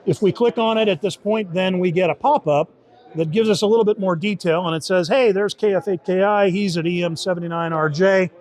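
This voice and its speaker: A man with a somewhat high voice walks through a software tool in an informal demo. He explains it conversationally, often repeating and rewording his points as he goes. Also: fairly quick. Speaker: software team member demonstrating a beta tool. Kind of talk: informal product demo in an interview.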